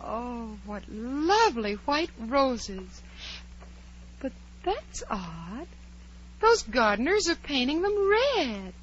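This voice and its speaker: A woman's voice: high-pitched, sing-song, takes it slowly, background noise, exaggerated voice. An actress playing a curious, outspoken, polite little girl in 1940s radio drama style. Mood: wondering. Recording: muffled 1940s radio recording, faint music.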